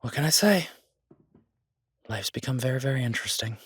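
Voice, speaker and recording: A man playing a shy, devoted chef boyfriend in an intimate audio roleplay, speaking in a halting, self-deprecating, affectionate way. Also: medium pitch, animated, a bit bright, husky, no echo. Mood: wry, gossipy. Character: Beau, warm, shy, flustered male chef and devoted boyfriend.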